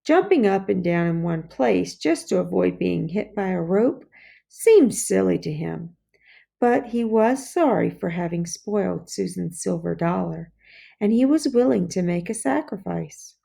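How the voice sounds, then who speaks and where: A woman narrates a children's storybook in a slow, deliberate delivery. Her voice is mellow with a noticeable creak. It is read-aloud audiobook narration. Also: lowish voice, expressive. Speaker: storybook reader.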